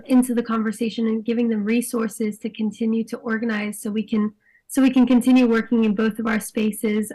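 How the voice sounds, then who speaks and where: A young woman speaking as a youth climate activist on a panel, her voice hoarse and a little raspy. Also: on the high side, darkish tone, poor audio. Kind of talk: online panel discussion.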